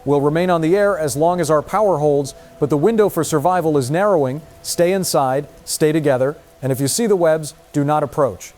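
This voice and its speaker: A man's voice: on the high side, fairly quick, hardly any pauses, darkish tone, natural voice. A man playing a grave, formal news anchor in a scripted disaster newscast drama. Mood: grave, urgent. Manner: formal, solemn.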